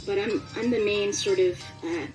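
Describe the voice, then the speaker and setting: A woman's voice: mid-range voice, moderate pace, a little mellow, far from the mic, faint music behind. A woman chatting casually and animatedly as an interviewed voice actor. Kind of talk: casual chat.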